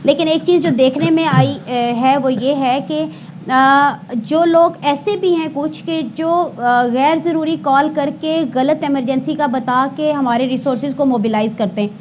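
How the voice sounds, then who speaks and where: A woman's voice: high-pitched, unhurried, a little mellow, slight background noise. A woman with a Pakistani accent speaking as a service spokesperson, giving formal, explanatory interview answers with some hesitation and self-correction. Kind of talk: explanatory interview answers with statistics, Urdu mixed with English.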